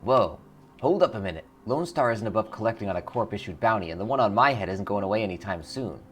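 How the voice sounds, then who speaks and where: A man's voice: fairly low pitch, expressive, quick pace, natural voice. A man voicing a streetwise, cocky but friendly fugitive in a video game. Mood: wary. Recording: clear background noise.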